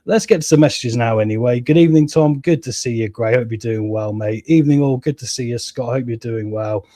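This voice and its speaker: A man with a cold and a hoarse voice, chatting casually to viewers as he plays a football management game and reads out live chat. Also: medium pitch, brisk, mellow, no echo, studio quality. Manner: chatty, keeps addressing viewers as guys and mate. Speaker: gaming channel host.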